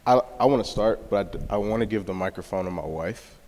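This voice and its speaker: A man, a church planter, telling stories casually on a panel, animated and full of "like". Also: fairly low pitch, fast talker. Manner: animated storytelling, filler "like". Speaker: church planter and football coach. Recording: reverberant hall.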